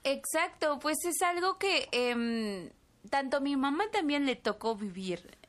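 Young Tzotzil-speaking woman, higher voice. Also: animated, measured pace, fairly bright tone. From Chiapas, Mexico. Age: 20s. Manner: thoughtful, speaks with many fillers and tag questions. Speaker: filmmaker guest. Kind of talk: interview answers.

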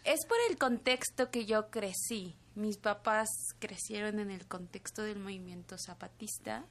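A woman's voice: medium pitch, unhurried, fairly bright tone. A woman in her twenties, a native Tzotzil speaker from Chiapas, answering interview questions thoughtfully with frequent fillers and tag questions. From Chiapas, Mexico. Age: 20s. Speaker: filmmaker guest.

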